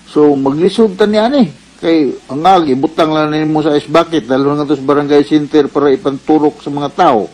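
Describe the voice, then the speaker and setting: A man's voice: fairly high pitch, moderate pace, a little mellow, low-quality recording. A man with a Cebuano Filipino accent presenting a radio advice program as its doctor host, explaining things conversationally.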